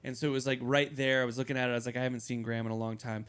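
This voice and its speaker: A man's voice: mid-range voice, level tone, racing, a bit bright, clear, resonant tone. A young man co-hosting a podcast, telling rambling stories in casual chat and swearing freely. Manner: crude, rambling storyteller with frequent swearing. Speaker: podcast co-host.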